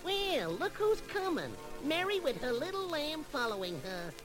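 A woman's voice: high-pitched, big pitch swings, normal speed. A voice actor playing a brash, teasing male cartoon rabbit on an old children's story record, with music playing behind. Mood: amused. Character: wisecracking male cartoon rabbit, cheeky and friendly.

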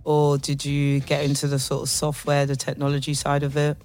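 A man's voice: on the high side, completely flat delivery, brisk, a little mellow, faint music behind. Playful upbeat male radio host. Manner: enthusiastic, playful, many fillers. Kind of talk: casual radio interview.

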